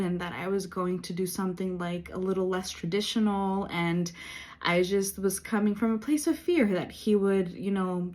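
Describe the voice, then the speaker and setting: A woman in her late thirties talking in a personal faith vlog, earnest and reflective, with many pauses and fillers. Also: mid-range voice, a little mellow. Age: 30s. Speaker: vlogger.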